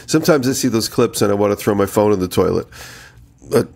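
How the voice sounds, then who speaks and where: Low, gravelly man, a bit nasal. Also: expressive, fast talker, darkish tone. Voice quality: nasal from a cold. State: has a head cold. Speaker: podcast co-host. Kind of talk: casual chat, rambling anecdotes.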